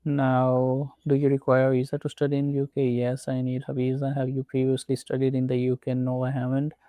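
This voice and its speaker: A man from Pakistan, not a native English speaker, narrating a screen walkthrough with slow, methodical step-by-step instructions. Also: medium pitch, monotone, dark tone, clear, resonant tone, dry recording. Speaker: tutorial presenter.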